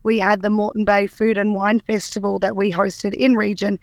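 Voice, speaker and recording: An Australian woman who runs a tourism organisation, answering radio interview questions in an upbeat, promotional way with a croaky voice. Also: medium pitch, flat delivery, brisk, no echo. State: croaky voice after a weekend event.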